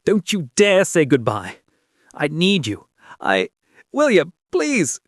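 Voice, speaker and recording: A man's voice: a little high, wildly swooping pitch, slow, no room sound, studio quality, pitched above the performer's own voice, full character voice. A man voicing a fierce, anguished king in love in a dramatic story, impassioned and pleading. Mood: desperate, fierce.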